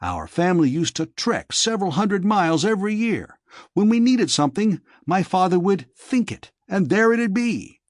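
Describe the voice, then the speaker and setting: Obstinate male exile read by a male narrator, contradicting and certain, lively pitch, dry close sound, audiobook drama. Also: normal speed, no room sound. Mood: insistent, wistful. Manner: stubborn, contradicting. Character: stubborn male exile sure of his idyllic memories.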